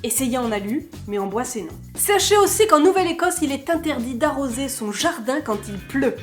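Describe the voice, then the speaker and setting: A woman's voice: sits high, lively intonation, normal speed, soft background music. A young woman hosting a casual vlog, playful and chatty, with joking asides. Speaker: vlogger host.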